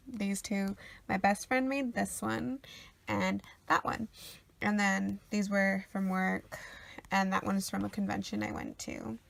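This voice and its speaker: A woman's voice: medium pitch, vocal fry, no room sound. A sniffly woman rambling casually with lots of ums and uhs as she shows her sketchbook. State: sniffly after a cold. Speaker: artist showing her sketchbook.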